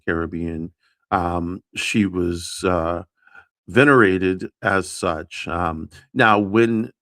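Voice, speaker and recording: A man hosting an early-morning livestream, talking in a halting way full of fillers and restarts, unsure of his pronunciation. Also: low voice, creaky, fairly bright tone, no room sound, studio quality. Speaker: livestream host. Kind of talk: casual livestream chat.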